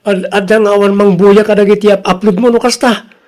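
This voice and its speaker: A man acts an exasperated husband in an Ilocano radio drama. His voice sits high for a man. He scolds bluntly, with an embarrassed, reproachful air and a local accent. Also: fairly even intonation, fairly quick, a little mellow, natural voice. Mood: exasperated, scolding.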